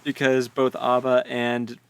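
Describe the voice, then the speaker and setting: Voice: medium pitch, monotone, slow, slight background noise. A podcast co-host chatting casually about a novel with tongue-in-cheek commentary, repeating phrases and jokingly acting out lines. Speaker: co-host of a book read-along podcast.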